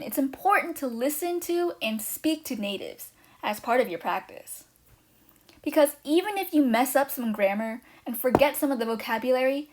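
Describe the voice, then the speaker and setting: A woman with a slightly higher voice introduces her language course. She talks quickly and eagerly, with a touch of nervous energy, reading from a prepared script. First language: not Chinese. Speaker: language teacher, channel host.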